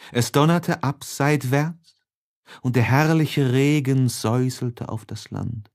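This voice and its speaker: A man narrating a literary audiobook in an expressive, measured, dramatic way. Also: mid-range voice.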